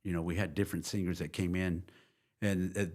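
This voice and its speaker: Older guy from texas, real deep voice, laid back, rambling band stories. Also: fairly quick. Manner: relaxed, rambling storyteller. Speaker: guest musician. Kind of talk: casual interview storytelling.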